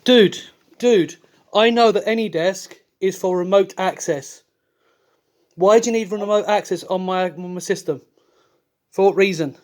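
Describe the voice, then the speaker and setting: Man, blunt, skeptical, confronting a caller, higher voice. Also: a little slow. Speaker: call recipient confronting a scammer.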